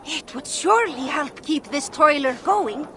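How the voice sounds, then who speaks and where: A man's voice: very high voice, animated, measured pace, bright, forceful tone, full character voice. An actor, a man, playing a hungry, weary dwarf toiler in a video game, plaintive and theatrical. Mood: grateful. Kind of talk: video game cutscene acting.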